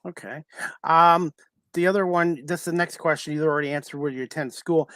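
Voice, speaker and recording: A man hosting a casual sports podcast, asking questions in a halting, polite way. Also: a little high, steady tone, a bit bright, no room sound. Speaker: podcast interviewer.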